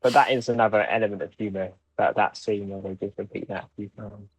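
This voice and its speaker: A man's voice: fairly low pitch, steady tone, fast, slightly rough, dry recording. A man co-hosting a podcast in casual, conversational fan chat. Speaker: podcast co-host. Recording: dull, dry, band-limited microphone.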